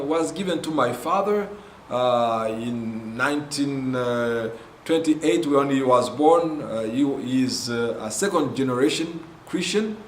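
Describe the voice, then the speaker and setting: A man from Congo with a Congolese accent, telling his ministry's story in a halting way with many pauses and fillers. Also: medium pitch, reverberant, slight background noise. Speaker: ministry founder. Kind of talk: earnest spoken testimony.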